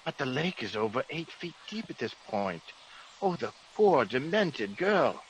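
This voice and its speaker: An actor playing a fussy, sceptical British gentleman in a vintage radio drama, genteel, blustery and exclamatory. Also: mid-range voice, sing-song, measured pace. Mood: upset. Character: fussy, excitable, sceptical English gentleman of the manor. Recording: noisy vintage radio recording.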